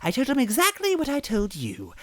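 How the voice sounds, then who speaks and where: A woman's voice: sits high, huge pitch swings, fairly quick, a bit bright, no room sound, voice pushed up, heavily acted. A woman voicing a hideous, gleefully cruel bean witch in a storybook reading, taunting with a theatrical sneer. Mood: gleeful mockery.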